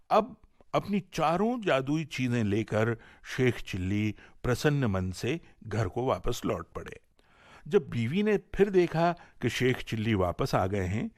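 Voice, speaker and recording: A man with an Indian accent tells a folk tale for radio. His pitch moves widely and expressively through each sentence, and the recording is close and dry. The delivery is scripted and dramatic. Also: no echo. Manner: dramatic, animated storytelling delivery. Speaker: storyteller and presenter on a radio show.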